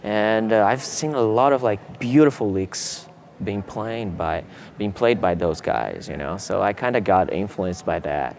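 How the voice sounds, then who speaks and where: A young Brazilian man, a native Portuguese speaker with a Brazilian accent, casually answering interview questions in a relaxed way while seated in a theater hall. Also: fairly low pitch, unhurried, creaky, hardly any pauses, slight background noise. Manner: relaxed, laid-back, many fillers like "you know" and "man". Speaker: guitarist.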